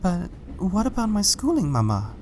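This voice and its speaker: A man's voice: high-pitched, huge pitch swings, moderate pace, a little mellow, slight background noise, pitched above the performer's own voice, exaggerated voice. A man voicing an anxious young colt pony in a storybook reading, with a whiny little-boy voice. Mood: anxious, whining.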